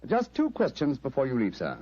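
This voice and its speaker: An actor playing a keen, self-assured English gentleman detective in a radio drama, precise, urbane and lightly amused. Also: mid-range voice, huge pitch swings, fairly quick, no echo, muffled recording. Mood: brisk, attentive.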